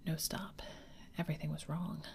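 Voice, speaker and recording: A woman reading a classic children's story aloud in a gentle, unhurried whisper. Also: sits low, little pitch movement, gravelly. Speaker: reader.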